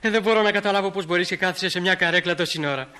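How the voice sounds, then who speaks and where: A man's voice: sits high, bright, forceful tone. A man playing an awkward, enthusiastic sixteen-year-old boy in a Greek radio play, hesitant and eager. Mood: shy, admiring.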